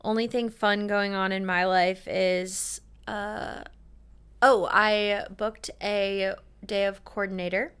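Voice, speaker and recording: A woman's voice: medium pitch, flat delivery, slow pace, a bit bright, clean tone. A young woman co-hosting a podcast, chatting casually with lots of fillers as she tells everyday stories. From American Midwest. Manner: chatty, filler-heavy, storytelling. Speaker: podcast co-host. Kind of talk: casual chat.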